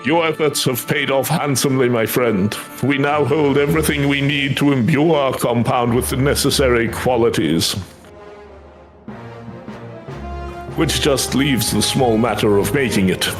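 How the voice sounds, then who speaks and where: A man's voice: medium pitch, normal speed, few pauses, close to an everyday voice. A man voicing a courtly, earnest fantasy craftsman, devout and grateful, speaking quest dialogue in formal, flowery phrasing. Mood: solemn, encouraging. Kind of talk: voiced reading of fantasy game quest dialogue. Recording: music behind the voice.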